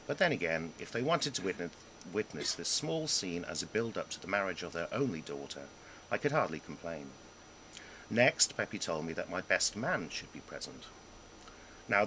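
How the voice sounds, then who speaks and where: Guy narrating his own comic story, really animated and wry, dramatic pauses, some background noise. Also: sits low, poor audio. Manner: wry, self-deprecating, dramatic pauses and emphasis. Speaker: author reading own memoir. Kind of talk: scripted narration of a humorous memoir.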